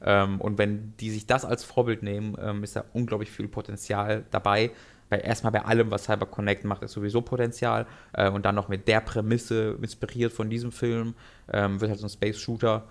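A young man talking about anime and video games in a casual podcast chat, animated and rambling with many restarts. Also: lowish voice, a little fast. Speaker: podcast co-host.

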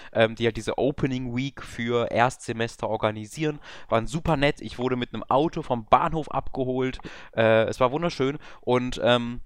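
Young male podcast co-host with a cold, rambling, joins remotely; smooth, dry sound. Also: medium pitch, no room sound. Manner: rambling, self-deprecating, many filler sounds. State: has a cold. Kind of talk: casual podcast chat. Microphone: remote over voice chat.

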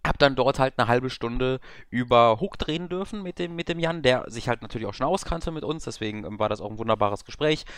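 A man's voice: medium pitch, brisk, few pauses, a bit bright, smooth, no echo. A young man with a cold, chatting on a podcast from a remote voice call, rambling and self-deprecating with many filler sounds. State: has a cold. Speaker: podcast co-host. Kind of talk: casual podcast chat. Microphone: remote over voice chat.

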